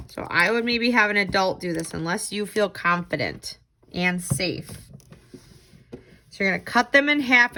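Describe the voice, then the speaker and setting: A woman's voice: a little high, bright, forceful tone. A woman tutor giving children simple, encouraging step-by-step instructions for a craft. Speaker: tutor leading a children's read-aloud craft.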